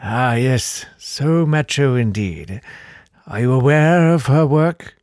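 A camp, ghostly old count from a comic vampire story, acted out by a man. He speaks in a slow, drawn-out, courteous way, genteel and languid, in a close, dry sound. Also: mid-range voice, expressive, darkish tone, no room sound.

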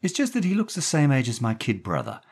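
A man's voice: fairly high pitch, huge pitch swings, fast talker, darkish tone, husky, pitched above the performer's own voice, full character voice. A man voicing a brassy, coaxing young American woman, a gangster's moll with slangy talk, in a story reading. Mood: chatty, sweet. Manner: slangy, coaxing, calls people honey.

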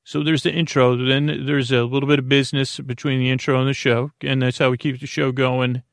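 Male sleep-podcast host, middling pitch, very creaky, lulling delivery, halting and meandering, whimsical. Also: level tone, no room sound, clean studio sound. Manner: meandering, tangent-prone, halting with stammered self-corrections; soothing, lulling. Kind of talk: rambling bedtime sleep podcast monologue.

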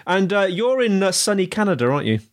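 A man's voice: high voice, sing-song, fast talker. Guy hosting a podcast, friendly, rambles through questions w fillers. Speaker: podcast host. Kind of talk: podcast interview.

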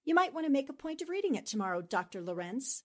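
An actress playing a cool, self-assured newspaper columnist in a 1940s-style radio drama, composed and articulate. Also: female voice, high-pitched, very animated, quick pace, a little mellow. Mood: cool, triumphant. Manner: composed, articulate, dryly confident.

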